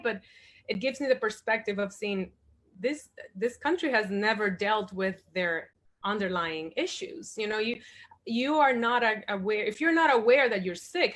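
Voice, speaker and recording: A woman's voice: mid-range voice, a bit bright. A woman in her forties from the Dominican Republic, a guest at a panel discussion, speaking thoughtfully with many fillers and restarts.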